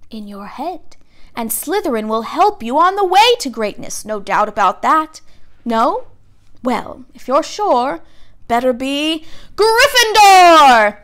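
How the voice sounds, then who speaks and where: A woman voicing an old magical talking hat that murmurs slowly and thoughtfully. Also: fairly high pitch, lively intonation. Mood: murmuring. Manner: hushed, murmuring, slow and thoughtful.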